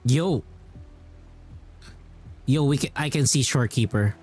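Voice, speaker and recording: A man's voice: medium pitch, a little slow, darkish tone. A man streaming a video game, commenting casually as he plays. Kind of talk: casual live gameplay commentary. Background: game music.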